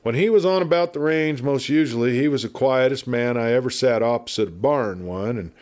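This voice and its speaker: A man's voice: mid-range voice, fairly quick, a little mellow, lightly acted. A man voicing a garrulous, good-natured but irascible frontier marshal in a storybook, with an American Western drawl and folksy, blustery chatter. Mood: gossipy, animated. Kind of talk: storybook character voice.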